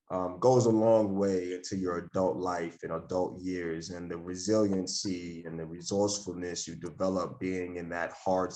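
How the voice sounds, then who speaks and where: A young man from Yonkers, talking about his upbringing as a podcast guest in a measured, reflective way, often pausing with ums and clearing his throat. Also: deep voice, a little mellow. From Yonkers, New York, USA. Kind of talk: casual podcast interview.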